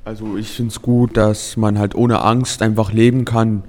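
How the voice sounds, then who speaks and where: Low, flat-voiced man. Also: measured pace, long reverb tail. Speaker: interviewee.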